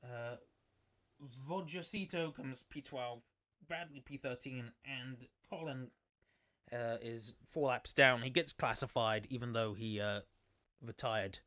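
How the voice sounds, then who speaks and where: A man's voice: mid-range voice, slow pace, bright, forceful tone, no room sound. A man commentating a sim racing league on a live stream, chatty and rambling, losing his voice. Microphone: desktop microphone.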